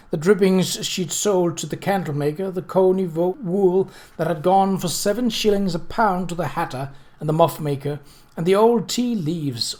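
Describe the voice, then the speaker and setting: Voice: medium pitch, a little mellow. A person reading a novel aloud as scripted narration, occasionally stumbling over words and rereading them. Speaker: audiobook reader.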